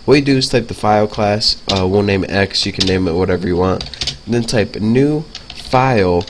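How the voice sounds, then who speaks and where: A man's voice: lowish voice, a bit bright, a little hiss or hum. A young man with a stuffy nose from a cold, casually talking through a programming lesson as he types, with lots of fillers. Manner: casual, filler-heavy, talks while typing. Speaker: programming tutor. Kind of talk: casual screencast coding lesson.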